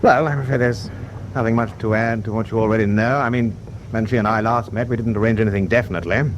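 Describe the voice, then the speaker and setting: An actor playing a polite, reserved British first officer in a wartime radio drama, speaking in an understated, slightly hesitant way. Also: male voice, fairly low pitch, steady tone, quick pace, muffled recording, a little hiss or hum, close to an everyday voice. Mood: guarded, uneasy.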